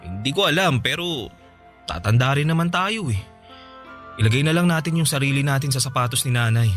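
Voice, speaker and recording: A man's voice: mid-range voice, lively intonation, a little fast, a bit bright, soft background music, natural voice. A man playing a weary, apologetic young husband in a Tagalog radio drama, speaking in a placating, subdued way. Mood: concerned, placating.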